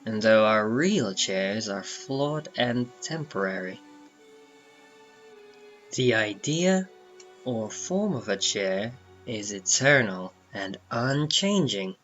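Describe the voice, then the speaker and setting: A man's voice: lowish voice, lively intonation, takes it slowly, fairly bright tone, lo-fi sound. A man narrating a comic book dramatically, with theatrical, emphatic phrasing. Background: background music.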